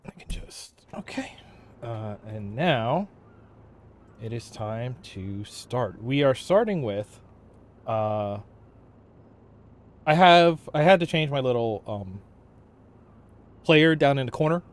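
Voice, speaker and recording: A man chatting casually on a gaming livestream, thinking aloud in a halting way. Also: mid-range voice, expressive, slight background noise. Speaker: game livestreamer.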